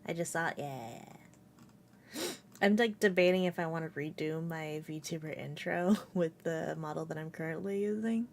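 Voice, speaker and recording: Giggly young woman streamer, low-voiced. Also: moderate pace, vocal fry, a little mellow, a little hiss or hum. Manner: rambling and giggly, with many fillers. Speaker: VTuber streamer. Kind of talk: casual live-stream chat. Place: at home with a cat on her lap.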